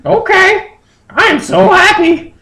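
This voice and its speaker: A man voicing an eager, demanding female bird monster in a squeaky, strained falsetto for a comedic story reading. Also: takes it slowly, creaky, bright, forceful tone, reverberant, heavily acted. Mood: pleased, bossy. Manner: gleeful, exaggerated, commanding. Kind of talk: comedic dramatic reading of fan fiction.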